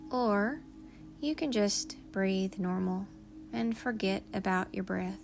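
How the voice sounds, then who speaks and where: A woman leading a guided meditation in a soothing, unhurried manner, leaving long pauses between phrases. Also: medium pitch, gravelly, soft background music.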